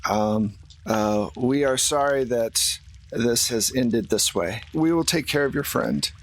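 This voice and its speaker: A man acts out a tabletop-game troll, pragmatic and apologetic. He talks in a halting, plain-spoken way at a middling pitch, careful to promise only what he can give. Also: a little hiss or hum, close to an everyday voice. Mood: apologetic, resigned. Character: pragmatic, apologetic male troll protecting his kind.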